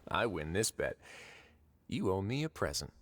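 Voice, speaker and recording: A man's voice: low-pitched, very animated, normal speed, a little hiss or hum. An actor voicing a wry, confident, easygoing man with casual, teasing delivery in a video game. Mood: wry, relaxed. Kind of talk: game voice acting.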